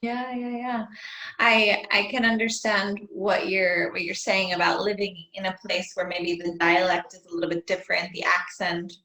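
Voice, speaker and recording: A woman's voice: medium pitch, flat delivery, normal speed, sharp tone. Woman host in an echoey room. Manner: chatty, leading with friendly questions. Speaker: podcast host. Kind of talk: casual interview chat. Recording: echoey room, far from the mic.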